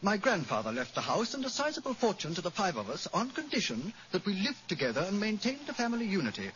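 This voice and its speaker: A man's voice: sits high, wildly swooping pitch, quick pace, a bit bright, highs cut off, slight background noise, heavily acted. An actor playing an anxious, brusque businessman in his forties, urgent and businesslike, in 1940s radio style on an old recording. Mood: brusque, troubled. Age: 40s.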